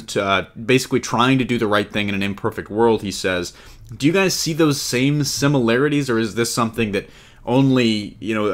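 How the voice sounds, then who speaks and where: A man's voice: on the low side, a bit bright. A man hosting a casual podcast discussion, talking in a rambling way and rephrasing his questions as he goes. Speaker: podcast host.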